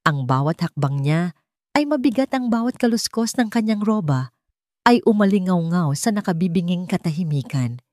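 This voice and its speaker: A woman's voice: lowish voice, animated, moderate pace, a little mellow, dry recording, studio quality. A woman narrator reading a scripted dramatic audiobook story.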